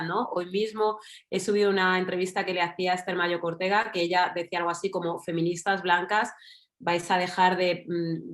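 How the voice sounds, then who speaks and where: A woman with a Madrid accent, speaking in a slightly low voice. She speaks as a panelist on a video call, working through her thoughts aloud with small fillers and asides. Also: fairly bright tone. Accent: Madrid Spanish. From Spain. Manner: reflective, thinking aloud with fillers and tag questions. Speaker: panelist writer. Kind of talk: online panel talk.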